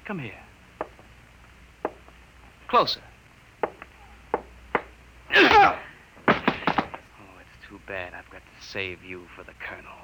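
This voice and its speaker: A sneering, vengeful lieutenant. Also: male voice, on the low side, wildly swooping pitch, very slow, pauses often, pushed and bright, a little hiss or hum, exaggerated voice, rough recording. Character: sneering, vengeful army lieutenant, adult man. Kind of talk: 1950s radio drama acting.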